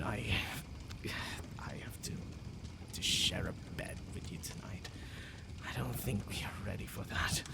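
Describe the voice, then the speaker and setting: A man playing a flustered, secretly tender vampire lord in an ASMR roleplay, with a gravelly voice and a hesitant, sighing delivery. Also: fairly low pitch, very noisy, exaggerated voice. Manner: hesitant, sighing, murmuring to himself. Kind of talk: ASMR boyfriend roleplay audio.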